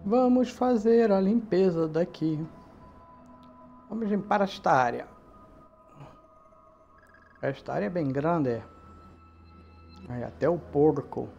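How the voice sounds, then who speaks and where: Man, Brazilian accent, gameplay commentary, casual and slangy, a bit high-pitched, light music underneath. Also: slow, creaky, long pauses, dark tone, lo-fi sound. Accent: Brazilian Portuguese. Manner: chatty, slangy, talks to his viewers. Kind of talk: casual let's-play commentary.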